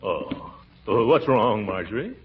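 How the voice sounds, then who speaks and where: A man's voice: on the low side, sing-song, unhurried. A man playing a pompous, gullible middle-aged uncle with a blustery, hesitant delivery in 1940s radio comedy. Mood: gently consoling. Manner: blustery, hesitant, chuckling, self-important. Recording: dull, noisy vintage radio, audience behind. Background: studio audience.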